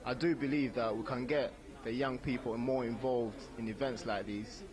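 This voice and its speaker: Young man from Uganda, Luganda and Runyankole speaker, earnest and reflective, mid-pitched, recorded outdoors with a crowd chattering behind him. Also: fairly even intonation, normal speed. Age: young adult. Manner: earnest, thoughtful, repeats words when starting. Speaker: interviewee at community event. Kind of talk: casual street interview. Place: outdoor community event.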